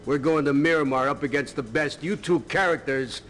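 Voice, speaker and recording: Stern higher-pitched male Navy commander played by an actor. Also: moderate pace, close to an everyday voice. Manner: authoritative, clipped military briefing. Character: stern, gruff male Navy commander and flight school instructor. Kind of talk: acted video game cutscene dialogue.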